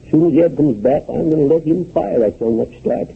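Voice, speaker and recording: An actor playing a folksy, obtuse old shopkeeper with a hesitant rural American way of talking, in 1930s radio comedy style. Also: male voice, fairly high pitch, brisk, vocal fry, breathy edge, full character voice. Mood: grumbling, scornful. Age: older. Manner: hesitant, drawling, countrified grammar. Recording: muffled 1930s radio recording.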